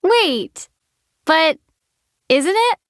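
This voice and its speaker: A level-headed young traveler heroine, her voice high for a woman and recorded close and dry. She answers in a calm, gently wry way, with steady, unhurried delivery. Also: dry recording, full character voice. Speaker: game protagonist. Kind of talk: video game character dialogue.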